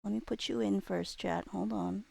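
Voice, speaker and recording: Gravelly-edged woman streamer chatting casually. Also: mid-range voice, fairly even intonation, normal speed, a little mellow, no room sound. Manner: scattered, thinking aloud, playful little nonsense sounds. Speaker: live streamer host. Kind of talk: casual livestream chat.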